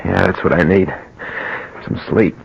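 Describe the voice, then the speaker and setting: An actor in an old-time radio drama playing a desperate, guilt-ridden husband on the run, halting and overwrought. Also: male voice, sits low, vocal fry, husky, exaggerated voice. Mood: uneasy. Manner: overwrought, halting, muttering to himself. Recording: dull, noisy old radio recording.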